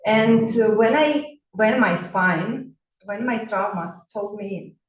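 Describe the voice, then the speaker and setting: A woman in her forties from Russia, a bodywork therapist introducing herself in halting non-native English with a Russian accent, full of fillers and restarts. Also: medium pitch. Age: 40s. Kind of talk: self-introduction talk. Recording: roomy echo, mic at a distance.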